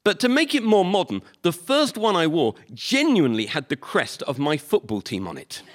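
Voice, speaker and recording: A man's voice: fairly high pitch, sing-song, moderate pace, a bit bright. Wry Liverpool-accented man doing stand-up. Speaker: comedian. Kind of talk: stand-up comedy monologue. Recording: live recording with audience noise.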